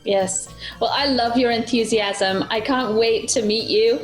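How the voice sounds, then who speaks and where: A woman's voice: on the high side, fairly even intonation, unhurried, soft background music, low-quality recording. Chatty British-born woman host. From Britain. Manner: chatty, laughs easily. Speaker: travel show host. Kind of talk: video call interview.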